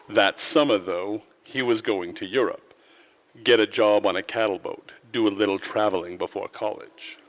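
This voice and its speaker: An actor playing a senior angel narrating a life story, measured and authoritative, in a 1940s radio drama style. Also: male voice, fairly low pitch, a bit bright. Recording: reverberant church hall, live stage.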